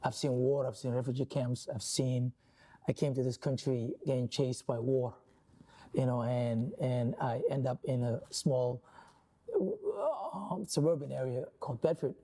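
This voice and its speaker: Earnest man with a very dark voice. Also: medium pitch, animated. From Somalia. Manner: earnest, halting, many restarts. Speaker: author guest. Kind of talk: interview conversation.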